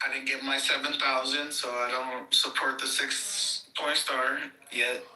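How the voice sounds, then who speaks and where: Bright-voiced man, profane and joking. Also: mid-range voice, flat delivery, unhurried. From Texas, United States. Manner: profane, defensive joking banter. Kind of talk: casual online group chat. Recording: roomy, distant audio.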